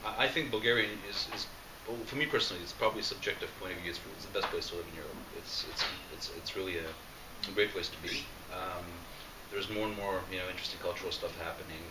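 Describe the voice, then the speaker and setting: A man being interviewed in casual conversation, talking quickly with lots of restarts and fillers. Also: deep voice. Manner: fast, animated, many restarts and fillers. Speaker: interview guest, entrepreneur. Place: large roomy event space. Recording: echoey room, distant mic, background noise.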